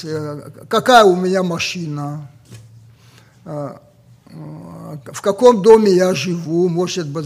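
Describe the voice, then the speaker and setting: A man from Ossetia with an Ossetian accent, a native Ossetian speaker preaching a sermon slowly and deliberately, pausing often with fillers. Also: a little high. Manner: slow and deliberate with many pauses and fillers.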